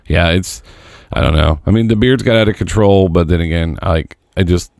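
A man in his forties riffs through foul-mouthed podcast banter in a flat, deadpan way. His voice sits low, and he often stumbles and restarts mid-sentence. The sound is dry and close, with no room around it. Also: a little fast, gravelly, no room sound. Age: 40s. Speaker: podcast co-host. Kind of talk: crude casual banter.

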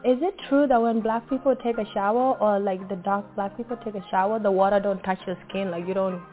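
A woman's voice: mid-range voice, steady tone, a little fast. A young woman, a model, telling an anecdote with incredulity and many "like" fillers. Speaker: fashion model. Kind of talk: interview anecdote. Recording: phone-like sound with faint music.

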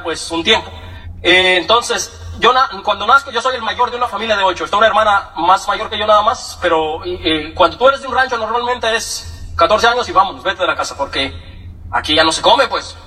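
Young Mexican-accented man, high bright voice, comic storyteller at a talk, heard from a distance. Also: brisk. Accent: Mexican Spanish. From Michoacán, Mexico. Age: young adult. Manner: joking, animated, acts out quoted voices. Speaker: speaker giving a testimony.

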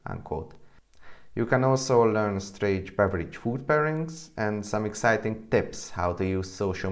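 A man hosting a podcast as a sommelier, moving between scripted introduction and casual interview talk. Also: fairly low pitch.

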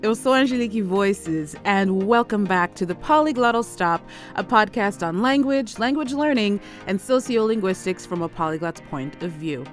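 A woman hosting a solo language-learning podcast, chatty and quick to laugh, slipping Portuguese phrases into her talk. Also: mid-range voice, animated, soft background music. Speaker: podcast host.

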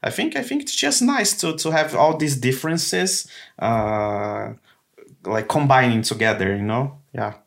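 Podcast guest: man, Brazilian accent, native Portuguese, medium pitch, casual and reassuring conversational delivery. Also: expressive, measured pace, vocal fry, a bit bright. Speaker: podcast guest, comedian and actor.

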